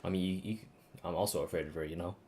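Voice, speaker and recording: A man's voice: sits low, steady tone, fast, very noisy. A man who streams games, chatting casually and reading game text aloud over game music. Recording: music playing behind the voice.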